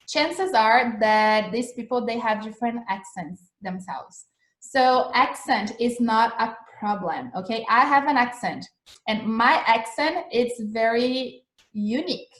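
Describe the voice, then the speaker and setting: A woman's voice: fairly high pitch, roomy sound, low-quality recording. Chatty Brazilian woman teaching English. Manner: chatty and encouraging, with frequent "okay?" checks. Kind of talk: livestreamed English lesson. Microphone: video call recording.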